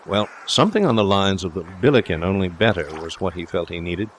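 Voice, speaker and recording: A man voicing a breezy, confident young gentleman suitor in an audiobook, speaking in a jaunty, reassuring, chatty way. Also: low voice, expressive, fairly quick, a little hiss or hum. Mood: chatty, confiding. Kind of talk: audiobook character dialogue.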